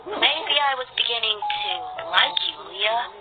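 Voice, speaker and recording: A woman's voice: a little high, animated, slow pace. A woman voicing a bickering, sarcastic young husband in a cartoon story, with a blunt, teasing manner. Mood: soft. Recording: thin phone-like sound, loud music behind.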